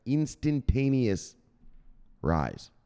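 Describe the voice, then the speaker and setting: A man's voice: medium pitch, wildly swooping pitch, drawn-out words, dark tone, clean tone. A man hosting a crypto news channel, ranting through the headlines in a profane and emphatic way.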